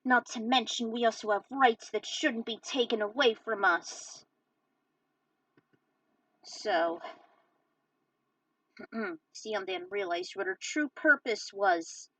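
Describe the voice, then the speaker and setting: A woman with a fairly high voice narrates a fan story as she plays a game. She moves haltingly between reading aloud and chatty remarks, recorded dry and close. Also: animated, sharp tone, no room sound, poor audio. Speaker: fan fiction reader and game player. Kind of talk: narrating fan fiction over gameplay, with casual asides.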